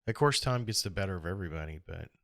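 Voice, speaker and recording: A middle-aged American man with a hoarse, gravelly voice, talking alone in a reflective, halting and rambling way as a casual podcast host. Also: deep voice, lively intonation, a bit bright, dry recording, clean studio sound. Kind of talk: casual solo podcast monologue.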